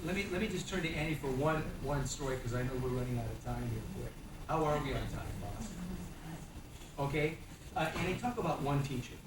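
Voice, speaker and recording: A man's voice: fairly high pitch, roomy sound, distant mic, background noise. A man moderating a community history panel, lecturing and prompting panelists with questions.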